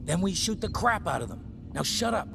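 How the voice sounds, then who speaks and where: An actor playing a nervous, aggressive armed survivor in a sci-fi video game. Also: male voice, high voice, sing-song, brisk, creaky, full character voice. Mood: agitated.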